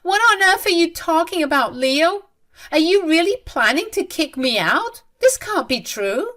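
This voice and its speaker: Woman putting on a shrill scheming mother-in-law. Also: very animated, a little slow, fairly bright tone, heavily acted. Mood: irritated, shrill. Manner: shrill, irritated, defensive. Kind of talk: storybook narration.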